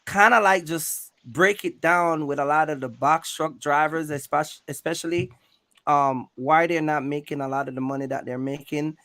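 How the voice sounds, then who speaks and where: A man's voice: on the high side, steady tone, moderate pace, a bit bright. A man hosting a casual interview in a rambling, repetitive way, using streetwise slang and checking in often with his listener. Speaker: YouTube channel host interviewing a guest. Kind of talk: casual interview chat about trucking.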